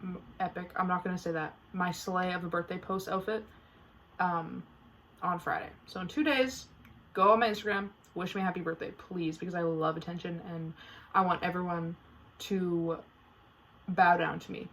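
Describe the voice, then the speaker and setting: A woman's voice: fairly low pitch, fairly even intonation, normal speed, fairly bright tone. A teenage girl hosting her own podcast, chatting casually and playfully dramatic, with lots of fillers. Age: teens. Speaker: podcast host. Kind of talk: casual solo podcast chat.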